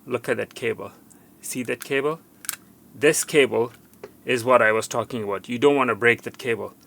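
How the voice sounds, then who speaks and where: Male tutorial host, creaky forceful voice, walking through a repair step by step with repeated cautions. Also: medium pitch, normal speed, slight background noise. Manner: instructive, repetitive, cautioning. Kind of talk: unscripted hands-on repair demonstration.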